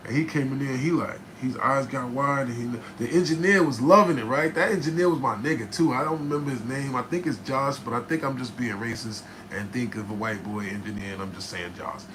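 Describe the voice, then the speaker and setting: Raspy rapper spinning a studio story. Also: male voice, mid-range voice, fairly quick, few pauses. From New Jersey, USA. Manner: animated, profane, keeps checking in with "right?". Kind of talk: casual storytelling to camera. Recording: noticeable background noise.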